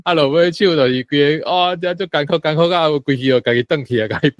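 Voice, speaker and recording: A man's voice: fairly high pitch, fast talker, dull audio. A man with a Taiwanese accent giving his reading of a story as a guest in a conversational discussion. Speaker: guest panelist.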